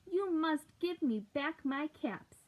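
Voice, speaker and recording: A woman voicing an angry grown-up cap peddler from a picture book, scolding and shouting demands over a tinny lo-fi recording. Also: high voice, animated, slow pace, pitched above the performer's own voice.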